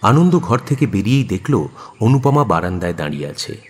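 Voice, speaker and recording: A man's voice: on the low side, big pitch swings, fairly quick, slightly rough. A man narrating a scripted audio story expressively, in the role of narrator.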